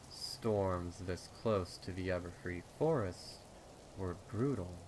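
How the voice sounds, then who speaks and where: A man's voice: low-pitched, a little mellow, slight background noise, low-quality recording. A man narrating a scripted horror story in a dramatic reading style. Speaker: fan fiction narrator. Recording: rain and storm sounds behind. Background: rain sound effects.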